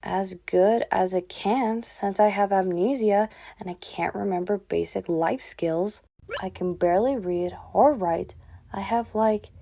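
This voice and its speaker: A woman voicing a moody, lost young female fox creature in an animated comic dub, with a hesitant, emotional, slightly whiny delivery. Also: mid-range voice.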